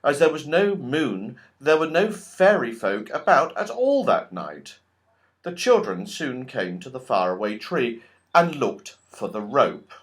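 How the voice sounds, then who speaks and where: A man reading a children's story as a narrator, with expressive, scripted storytelling. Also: mid-range voice, a little slow. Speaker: audiobook reader.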